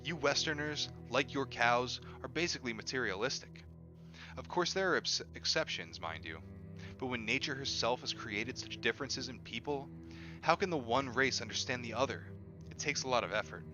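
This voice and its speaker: Guy doing an expressive book reading, kinda lo-fi sound, music clearly playing behind him. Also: medium pitch, moderate pace. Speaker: reader of an audiobook on a stream. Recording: dull lo-fi audio with music behind.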